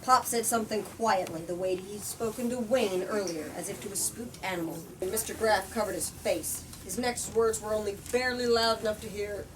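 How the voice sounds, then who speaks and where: A woman's voice: medium pitch, moderate pace, hardly any pauses. A woman reading a story aloud as host, dramatic and informal in her delivery. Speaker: host reading book excerpts. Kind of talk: expressive book reading with casual asides. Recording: distant mic, faint background noise.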